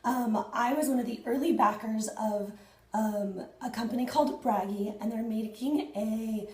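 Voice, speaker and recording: A woman in her thirties, hoarse and husky after losing her voice, chatting excitedly to viewers in a casual unboxing vlog at home. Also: on the high side, moderate pace, roomy sound. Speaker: fitness vlogger. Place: small indoor room at home.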